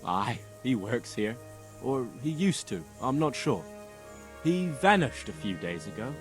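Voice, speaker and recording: Blunt obliging villager, medium pitch, very varied intonation, game cutscene, music behind. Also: male voice, sing-song, unhurried. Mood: helpful. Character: helpful, blunt village workman. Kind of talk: video game cutscene acting.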